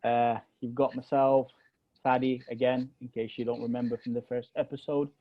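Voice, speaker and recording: A man's voice: medium pitch, little pitch movement, moderate pace, dry recording, phone-quality sound. A young man from Egypt, with Arabic as his first language, speaking with a British accent with a hint of American. He co-hosts a casual podcast chat and talks hesitantly, with many fillers and restarts. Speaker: podcast co-host.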